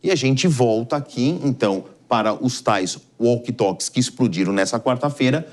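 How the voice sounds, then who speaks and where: A man's voice: medium pitch, animated, normal speed, a little mellow. A man with a Brazilian accent giving live explanatory news commentary as an analyst, in a didactic, emphatic way.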